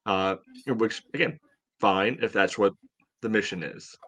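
Man, slightly low voice, podcast co-host, casual sports chat, conversational, halting delivery. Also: sharp tone.

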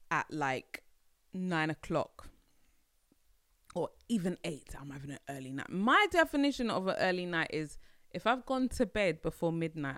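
A woman's voice: fairly low pitch, a bit bright, no room sound, studio quality. A young woman hosting a solo podcast, talking casually in a playful, slangy way, often laughing. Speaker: podcast host.

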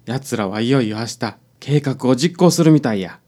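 A man playing a hapless, excitable young sidekick in a radio drama. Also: medium pitch, moderate pace, lightly acted. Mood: hushed urgency. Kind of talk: community radio drama acting.

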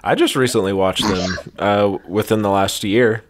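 A man's voice: fairly low pitch, moderate pace. A man co-hosting a podcast, chatting casually with joking banter and short questions. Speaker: podcast co-host.

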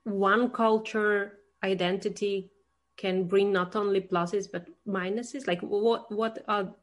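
A woman's voice: medium pitch, steady tone, slow pace. A woman from Russia, speaking as an interviewer in a casual conversation.

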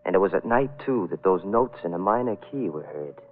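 A man's voice: sits low, lively intonation, brisk, a little hiss or hum. An actor playing a haunted man who tells an eerie personal tale in dramatic, musing 1940s radio style. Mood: ominous musing. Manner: brooding, dramatic, hesitant musing. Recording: tinny vintage radio broadcast.

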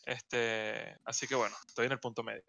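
A Venezuelan man co-hosting a casual podcast, making dry deadpan jokes. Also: medium pitch, moderate pace, creaky. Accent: Venezuelan Spanish. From central Venezuela. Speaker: podcast co-host.

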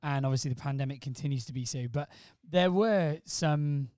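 A man's voice: fairly high pitch, moderate pace, darkish tone, no room sound, studio quality. A middle-aged man from Wales who says he doesn't sound Welsh, chatting as a guest on his wife's podcast, joking and teasing as he tells stories. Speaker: podcast guest, host's husband. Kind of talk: casual chat.

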